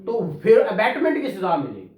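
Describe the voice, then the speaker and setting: A man's voice: high-pitched, wildly swooping pitch, moderate pace, darkish tone. Male law lecturer, Pakistani Punjabi accent, interactive and questioning; recorded at a distance in an echoey room. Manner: conversational, questioning, acts out courtroom exchanges. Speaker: law teacher. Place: indoor classroom.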